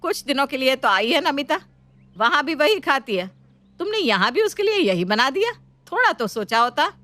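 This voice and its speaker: A woman's voice: high voice, big pitch swings, moderate pace, fairly bright tone, no echo, voice pushed up. A woman voicing a stern, bossy middle-aged mother-in-law who speaks emphatically in a family story. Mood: scolding. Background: music.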